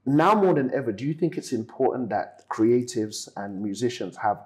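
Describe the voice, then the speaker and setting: A man hosting a casual celebrity interview in an animated, slangy way, often repeating phrases for emphasis. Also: mid-range voice, moderate pace. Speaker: interviewer and company founder.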